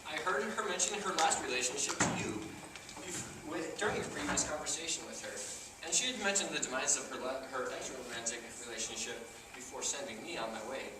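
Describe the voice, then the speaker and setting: A man's voice: medium pitch, a little fast, lightly acted. Echoey, distant, pedantic young man acted on stage. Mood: lecturing, analytical. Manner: pedantic, formal, wordy. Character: awkward, analytical young man named Steve, pedantic know-it-all. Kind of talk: amateur stage comedy acting. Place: theater stage, indoors. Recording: echoey theater, distant mic, clearly noisy.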